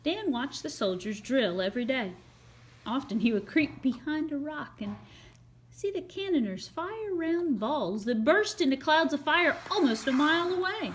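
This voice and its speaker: A woman reading a children's picture book aloud as an expressive, dramatic storyteller. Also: sits high, moderate pace, a little hiss or hum.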